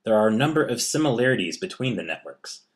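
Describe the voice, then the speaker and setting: A man with a fairly low voice reading scripted narration. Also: big pitch swings, fast.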